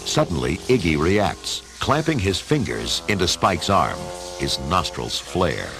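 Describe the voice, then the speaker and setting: A man's voice: low voice, sing-song, measured pace, creaky. A man giving theatrical scripted narration for a radio adventure story. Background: music.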